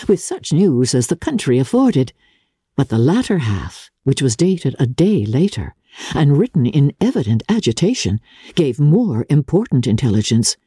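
A woman's voice: low-pitched, mellow, breathy edge, no echo, studio quality. A woman narrator giving a dramatic reading of classic literature.

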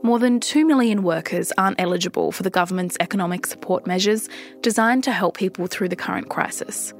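A woman, hosting a news podcast and reading scripted narration in a measured, deliberate way. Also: on the low side, soft background music. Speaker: podcast host.

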